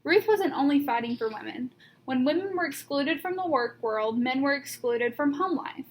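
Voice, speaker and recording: A woman reading a children's picture book aloud like a teacher, with deliberate emphasis on key words. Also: sits high, animated. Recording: noticeable background noise.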